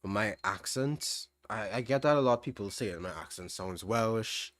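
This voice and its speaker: A young man with a mild Trinidadian accent answering chat questions on a livestream, relaxed, thinking aloud with restarts. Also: lowish voice, normal speed. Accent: Trinidadian, fairly standard. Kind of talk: casual livestream Q&A.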